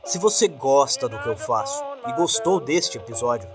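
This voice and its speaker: A man's voice: medium pitch, sing-song, moderate pace. A man with a Brazilian accent giving a scripted appeal to listeners as a podcast narrator and host. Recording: clear music bed behind voice.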